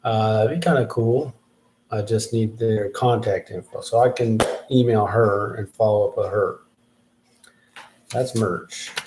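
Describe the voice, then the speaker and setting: A man's voice: on the low side, a little slow, background noise. A man hosting his own show, giving a casual solo update as he thinks aloud, rambling with restarts. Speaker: podcast host and rap artist.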